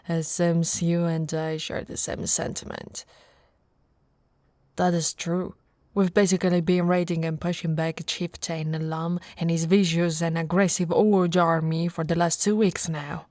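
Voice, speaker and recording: A woman's voice: on the low side, flat delivery, a little slow, dry recording, natural voice. A woman voicing a tough, teasing and affectionate orc warrior wife in a playful ASMR roleplay. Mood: weary, amused. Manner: playful banter, soft laughs and murmurs.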